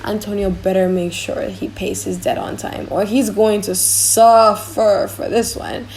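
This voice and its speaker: A woman playing a gossipy, excitable young man in conversational audiobook drama dialogue. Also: medium pitch, measured pace, close to an everyday voice, poor audio.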